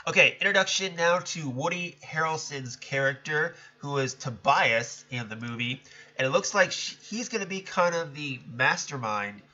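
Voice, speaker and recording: A man's voice: a little high, expressive, normal speed, pushed and bright, dull audio. A man hosting a casual solo commentary show, talking in a chatty, rambling way with a stuffed-up, nasal voice. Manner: chatty, rambling, self-correcting. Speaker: podcast host.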